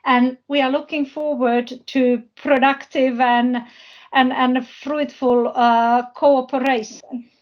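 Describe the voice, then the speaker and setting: High-voiced woman presenting haltingly. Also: flat delivery. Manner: halting, searching for words. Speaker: presenter, university project representative. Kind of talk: unscripted presentation at an online business forum. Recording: dull, lo-fi online call audio.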